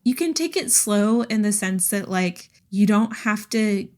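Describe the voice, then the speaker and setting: A woman's voice: medium pitch, expressive, unhurried, a little mellow. A woman chats casually as a podcast co-host. She talks informally and with animation, sprinkling in filler words and breaking easily into giggles. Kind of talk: casual book chat.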